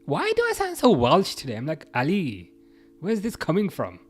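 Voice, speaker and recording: Young man, livestream host, relaxed rambling talk, faint background music. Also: fairly high pitch, normal speed. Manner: relaxed, rambling, frequent restarts and fillers. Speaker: livestream host reading chat. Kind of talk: casual football chat livestream.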